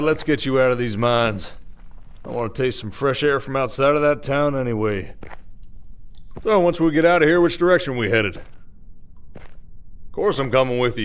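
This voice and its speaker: A man's voice: medium pitch, measured pace, long pauses, clean tone, natural voice. Man playing a wry drawled werewolf sheriff. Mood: relieved, wry. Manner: folksy, dry-humored, rambling explanations. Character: weary, wry, protective male werewolf sheriff of an Old West town. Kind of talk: ASMR audio roleplay acting.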